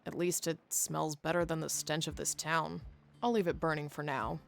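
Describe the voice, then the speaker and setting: An actor as a gravelly, blunt bounty hunter. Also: medium pitch, flat delivery, moderate pace, slight background noise, lightly acted. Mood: wry. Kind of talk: game acting, inner monologue.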